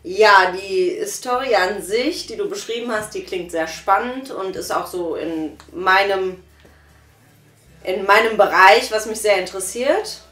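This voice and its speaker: A young woman giving her calm, measured opinion in a casual review chat on a sofa. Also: mid-range voice, roomy sound. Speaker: guest reviewer. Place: small living room, on a sofa.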